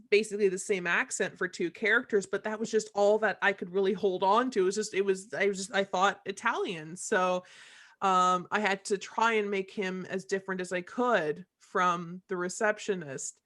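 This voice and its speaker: A woman talking casually as a voice actor in a cast discussion, haltingly circling back to rephrase. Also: medium pitch, steady tone, a bit bright. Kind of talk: casual chat.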